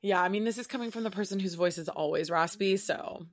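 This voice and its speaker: A woman in her thirties co-hosting a podcast in casual chat from home, chatty and expressive, often laughing. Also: medium pitch, fast. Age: 30s. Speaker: podcast co-host.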